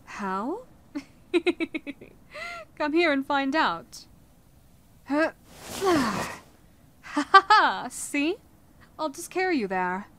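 A woman's voice: high voice, wildly swooping pitch, very slow, pauses often, fairly bright tone, exaggerated voice. A woman voicing a caring, teasing, motherly vampire woman in a soothing roleplay audio, coaxing and speaking directly to the listener. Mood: playful. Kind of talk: roleplay audio acting, ASMR-like.

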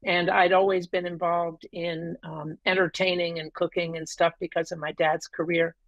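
A woman's voice: on the low side, little pitch movement, normal speed, dry recording, lo-fi sound. A middle-aged woman, a guest on a video call, telling her story at length with many ums and uhs. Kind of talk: casual interview. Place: room at home, green wall.

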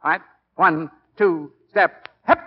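An actor playing a driven, commanding college football coach in a brisk, urgent 1940s radio style. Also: male voice, a little high, slightly rough. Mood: excited. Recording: muffled old 1940s radio recording.

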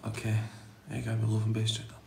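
A man's voice: fairly low pitch, monotone, fairly quick, creaky, dark tone, a little hiss or hum. A man in his twenties hosting a casual livestream from his living room, blunt and slangy, talking into a phone held at arm's length. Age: 20s. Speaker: livestream host. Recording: roomy room echo.

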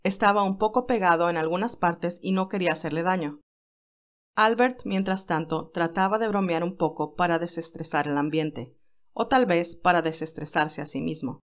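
A woman's voice: lowish voice, moderate pace. A woman reading a scripted romantic story as its narrator, in a dramatic storytelling style.

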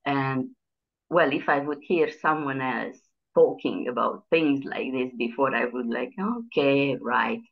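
A middle-aged woman with a Hungarian accent and a low, deep voice, talking as a guest over a video call. She speaks hesitantly, restarting sentences as she searches for words. The call audio sounds dull and lo-fi. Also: measured pace, gravelly. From Transylvania, Romania. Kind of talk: casual video call interview. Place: bright room indoors.

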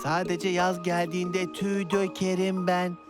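A performer voicing a gentle, polite magical mountain creature in a children's cartoon dub. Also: mid-range voice, little pitch movement, measured pace, close to an everyday voice. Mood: gentle, apologetic. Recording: loud children's music behind.

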